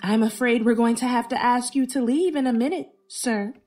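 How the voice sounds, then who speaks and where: A woman's voice: on the high side, normal speed, dark tone, faint music behind, natural voice. A woman playing a blunt, sardonic but protective bookstore worker in a radio drama. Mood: strained politeness.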